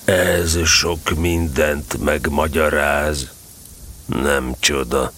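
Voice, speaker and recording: A man voicing a gloomy old grey donkey in a storybook, with a gravelly, husky voice and a slow, mournful, brooding delivery. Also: very deep voice, fairly even intonation, fairly bright tone, pitched below the performer's own voice, exaggerated voice. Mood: melancholy, resigned.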